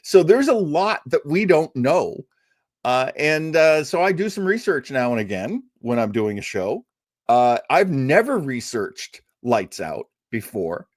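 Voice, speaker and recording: Male radio-show host, mid-pitched and animated, conversational, emphatic, given to rambling asides. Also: a little slow, no room sound, clean studio sound. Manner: enthusiastic, emphatic. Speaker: host of an old-time radio listening show. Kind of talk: casual, chatty introduction to a radio show.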